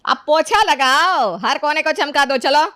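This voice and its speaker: A woman's voice: sits high, a little slow, sharp tone, no echo, studio quality, voice pushed up. A woman voicing a harsh, scornful middle-aged mother-in-law who scolds and orders her maid about in a cartoon story. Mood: bossy, threatening. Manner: scolding, commanding, sarcastic. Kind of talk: Hindi cartoon story character dialogue.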